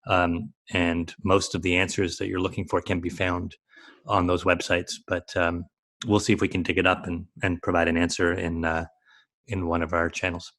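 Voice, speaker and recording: A man with a low-pitched voice speaks off the cuff as an expert on a panel. His delivery is reflective and halting, as he works through his advice while he talks. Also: little pitch movement, a bit bright. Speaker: expert panelist on a conference call. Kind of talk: unscripted advisory commentary.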